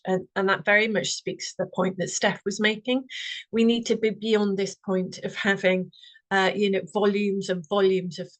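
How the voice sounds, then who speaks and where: A woman's voice: on the low side, rough recording. Welsh woman presenting on a video call. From Wales. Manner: friendly, earnest, many fillers and restarts. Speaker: webinar speaker. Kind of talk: presentation to online audience.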